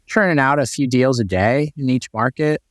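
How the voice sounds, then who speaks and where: A man's voice: mid-range voice, normal speed, dry recording, studio quality. A man co-hosting a real estate podcast, chatting casually and upbeat with lots of fillers and restarts. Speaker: podcast co-host.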